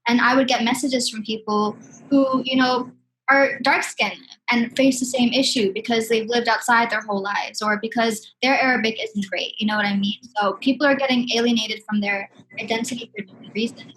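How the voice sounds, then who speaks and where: Guest, woman from Sudan, heartfelt casual talk, higher-pitched. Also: steady tone, fairly quick, a bit bright, slight background noise, low-quality recording. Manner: candid, heartfelt, many "you know what I mean". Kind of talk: casual conversation.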